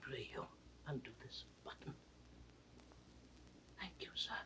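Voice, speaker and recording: A man's voice: takes it slowly, lightly acted. An actor playing a frail, grief-maddened old king in a classical Shakespeare audio drama, swinging from anguished cries to broken whispers. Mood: broken, fading. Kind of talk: 1960s recorded Shakespeare drama, classical stage acting. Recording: distant, noisy vintage drama recording.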